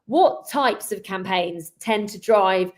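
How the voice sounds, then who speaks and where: A woman hosting a webinar in a conversational way, explaining things a little haltingly and repeating words. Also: mid-range voice, expressive. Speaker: webinar host.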